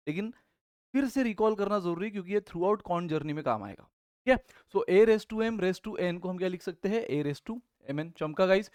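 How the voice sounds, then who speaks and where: An Indian man teaches an exam-prep math lesson with a high-set voice that is a little hoarse from a sore throat. He keeps calling his students guys and asks questions, then answers them himself. The close, dry recording has no room echo. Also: a little mellow.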